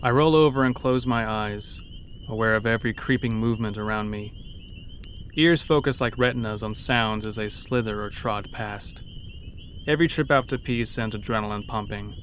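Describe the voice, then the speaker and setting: A man's voice: on the low side, normal speed, a bit bright, a little hiss or hum. A man narrating a scripted first-person horror story as an uneasy logging worker.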